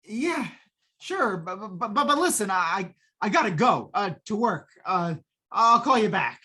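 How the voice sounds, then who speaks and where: A woman's voice: medium pitch, very animated, moderate pace, bright, forceful tone, breathy edge, exaggerated voice. A woman playing a flustered, henpecked working husband who stammers nervously, in old-time radio comedy style. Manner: stammering, hesitant.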